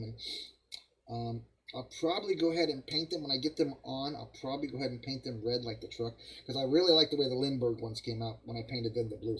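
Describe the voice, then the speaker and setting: Hoarse man chatting at his workbench. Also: mid-range voice, low-quality recording. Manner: rambling, friendly, greets viewers by name. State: hoarse from a cold, coughing. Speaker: live stream host. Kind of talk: casual live-stream chat while model building.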